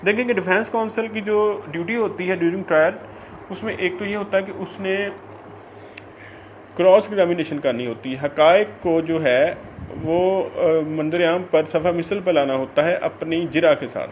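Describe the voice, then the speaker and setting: A man with a Pakistani accent explaining law in an informal lecture, haltingly and repetitively, mixing in English legal terms. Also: high voice, slight background noise, rough recording.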